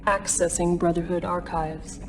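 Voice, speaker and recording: Female faction announcer for game briefings: even, impersonal, formal delivery, distant, with music. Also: fairly low pitch, a little slow. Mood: impassive, formal. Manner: formal, impassive. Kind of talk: scripted game briefing narration.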